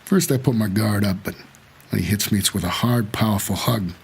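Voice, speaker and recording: A tired guarded supernatural cabbie, american, brooding noir narration, bit of husk in the voice, short measured lines. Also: male voice, on the low side, gravelly, husky, full character voice. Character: weary, guarded supernatural driver who ferries the dead. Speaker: actor, lead and narrator of audio drama. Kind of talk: first-person noir audio drama narration.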